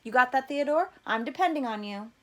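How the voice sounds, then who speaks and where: A woman's voice: sits high, animated, brisk, a bit bright, pitched above the performer's own voice. A woman voicing an upbeat, preachy male camp counselor in a storybook reading, encouraging and patronizing. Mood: patronizing, insistent.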